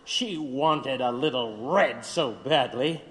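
An actor in an audio drama playing a cruel, officious government interrogator, with a deliberate, sneering, commanding delivery. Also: male voice, mid-range voice, a bit bright. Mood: mocking. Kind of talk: dramatic audio play acting. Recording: long hollow reverb.

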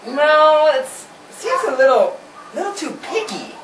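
A woman's voice: high voice, very animated, unhurried, distant mic, a little hiss or hum. A woman voicing an anxious male rooster who talks in a hesitant, stammering way, in an amateur home puppet show. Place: indoor room with a puppet stage. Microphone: far camera. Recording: roomy home video from afar.

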